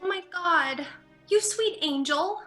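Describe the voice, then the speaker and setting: Woman acting sweet young sorority girl, high cooing voice, effusive, good-natured, dramatic reading. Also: slow, pushed and bright, faint music behind, exaggerated voice. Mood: delighted.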